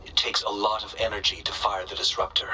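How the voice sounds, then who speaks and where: A cautious military technician in a game drama. His lowish voice has a very hard, tense edge as he briefs gravely and formally, with faint music behind. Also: little pitch movement, fairly quick, sharp tone, low-quality recording. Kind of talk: dramatic game cutscene acting.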